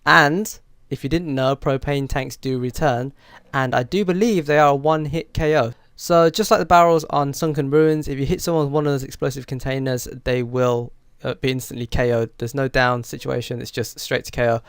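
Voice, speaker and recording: A man with a cold and a croaky, stuffy voice, chatting through a gaming news rundown with plenty of fillers. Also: mid-range voice, fairly even intonation, moderate pace, no echo. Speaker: gaming channel host. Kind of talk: casual news rundown to camera.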